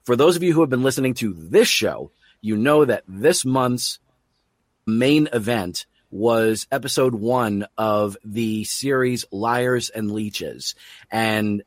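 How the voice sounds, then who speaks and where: A man's voice: mid-range voice, slow, no room sound. A man hosting an interview podcast in an enthusiastic, effusive manner. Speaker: podcast host.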